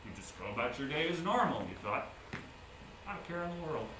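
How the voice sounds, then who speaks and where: A man's voice: on the high side, sing-song, a little fast. An actor playing an aggrieved, desperate man in a dramatic monologue, theatrical, emphatic and bitter in delivery. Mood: weary, resigned. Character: aggrieved, nameless man desperate to regain his name. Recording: echoey room, distant mic, clear noise.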